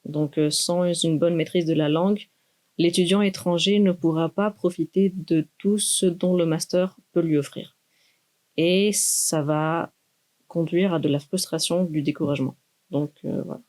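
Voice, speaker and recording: A young woman, a doctoral student speaking in a thoughtful, measured way with many pauses in a university podcast interview. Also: lowish voice, fairly even intonation, darkish tone. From Greece. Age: 20s. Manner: measured, thoughtful, with frequent hesitations.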